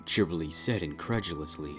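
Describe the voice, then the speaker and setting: Deep-voiced man narrating a story. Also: a little fast, a bit bright. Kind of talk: scripted dramatic story narration. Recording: music under the voice.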